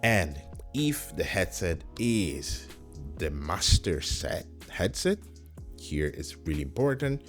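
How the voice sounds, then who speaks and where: Very deep-voiced, slow-talking man reviewing gear. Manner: repeats points, checks in with "right?". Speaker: tech product reviewer. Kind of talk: casual explanatory product demo.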